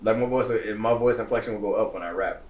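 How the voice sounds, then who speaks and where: Young male co-host, rapid and insistent, mid-pitched, roomy sound. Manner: insistent, explains himself at length. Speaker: podcast co-host. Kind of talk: casual group chat.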